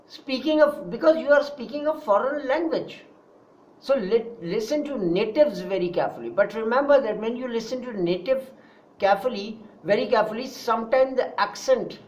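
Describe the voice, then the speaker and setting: A man's voice: sits high, darkish tone. Pakistani guy teaching a spoken english class, earnest, says things twice, kinda dull lo-fi audio. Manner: earnest, repetitive, addresses listeners directly. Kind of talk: online spoken English lesson. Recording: dull lo-fi recording.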